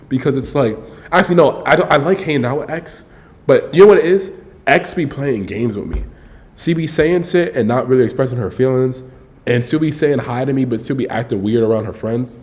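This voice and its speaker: A man's voice: medium pitch, darkish tone. A man in his early twenties telling a rambling story to his stream viewers in a casual, slangy way, often correcting himself and tossing in "bro.". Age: 20s. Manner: laid-back, frequent "bro" asides.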